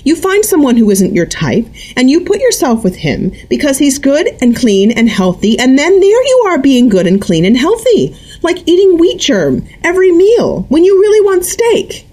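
A shrill, prying older mother who lectures and presses without letup, as a woman reading a novel puts her on. Her voice sits far higher than the reader's own and stays loud and insistent. Her tone swings widely. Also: huge pitch swings, moderate pace, few pauses. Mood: rushed, agitated. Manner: high-pitched, insistent and lecturing.

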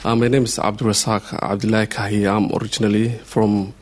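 A man from Somaliland asking a long question from the audience, halting and repeating words with many fillers. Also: lowish voice, flat delivery. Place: lecture hall.

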